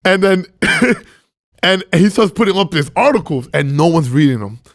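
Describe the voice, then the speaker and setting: A man's voice: sits high, very animated, normal speed, dry recording, clean studio sound. A man from Venezuela, a podcast guest, telling his story in casual chat and acting out his own past reactions. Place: podcast studio.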